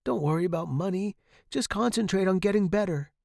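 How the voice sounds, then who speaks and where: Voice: slow pace, a little mellow, dry recording, studio quality. A storyteller voicing a devoted young male music student, earnest, emotional and imploring. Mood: tender, worried. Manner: earnest, imploring, high and distraught.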